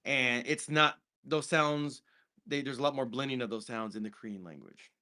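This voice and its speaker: A man's voice: mid-range voice, normal speed, bright, forceful tone. A man, co-hosting a casual film review chat and explaining at length with many restarts. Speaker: podcast co-host.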